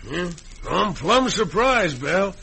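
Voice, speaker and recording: High-voiced folksy western sheriff. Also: male voice, big pitch swings, slow, gravelly, pushed and bright, slightly rough, heavily acted. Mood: astonished. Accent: American western. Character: folksy, excitable male Old West sheriff. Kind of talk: 1950s radio western acting. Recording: old radio broadcast recording.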